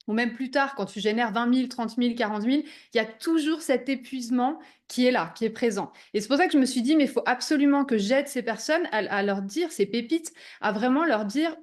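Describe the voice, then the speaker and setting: A young woman from Switzerland, a business coach, giving an energetic online workshop over a video call and mixing English business words into her talk. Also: on the high side. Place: remote video call from abroad.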